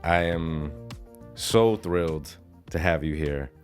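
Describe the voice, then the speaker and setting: Deep-voiced man hosting a podcast. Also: slow, soft background music. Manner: attentive, encouraging, frequent affirmations. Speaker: podcast host. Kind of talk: interview conversation.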